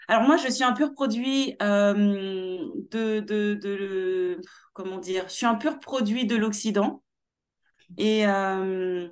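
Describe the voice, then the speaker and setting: A young woman from Paris with Martinican roots, speaking as a guest in an animated interview over a video call. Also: medium pitch. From Martinique heritage, born in Paris. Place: small room at home.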